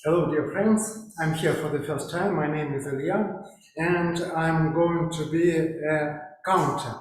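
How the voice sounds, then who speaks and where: A man with a Russian accent introducing himself as the filler-word counter at a speaking club, halting and searching for words. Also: on the high side. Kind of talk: club meeting role introduction. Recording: very echoey with faint noise.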